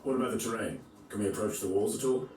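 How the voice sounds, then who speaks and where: An actor playing an honourable medieval knight in a video game, speaking in a formal, composed manner. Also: male voice, fairly low pitch, quick pace, a little mellow, natural voice. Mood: measured, firm. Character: steadfast male medieval knight, measured, honourable. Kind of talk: dramatic video game acting. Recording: echoey, slightly distant, music underneath.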